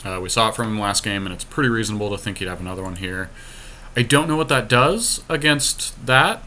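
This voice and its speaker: A man's voice: fairly low pitch, lively intonation, a little fast, pushed and bright, slight background noise. A man co-hosting a podcast, casually commentating on a card game in informal language. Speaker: podcast co-host.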